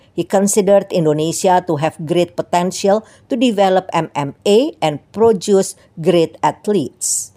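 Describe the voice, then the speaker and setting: Steady woman reading radio news. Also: lowish voice. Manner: steady, even news delivery. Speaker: news reader.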